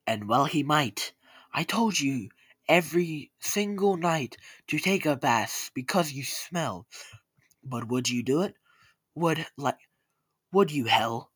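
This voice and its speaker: A man voicing a sharp-tongued, short-tempered mother who scolds her boy emphatically in a storybook rhyme. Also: mid-range voice, animated, a little slow, husky, no echo. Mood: scolding.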